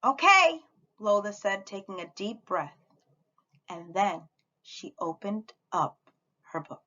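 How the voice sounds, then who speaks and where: A woman reading a children's story aloud with dramatic, deliberate expression. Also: medium pitch, fairly even intonation, highs cut off. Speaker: storybook reader at a school read-aloud.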